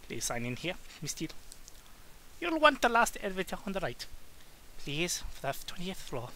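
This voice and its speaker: A man's voice: high voice, huge pitch swings, normal speed, pushed and bright, a little hiss or hum, exaggerated voice, rough recording. A man voicing a poised young female receptionist in a comic book reading, polite and formal.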